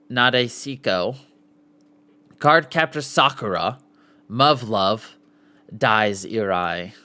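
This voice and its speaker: Casual male podcast host, slow and animated. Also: medium pitch, clean tone. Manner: stumbles over foreign names, sounding them out. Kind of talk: casual live podcast, reading aloud from lists and articles.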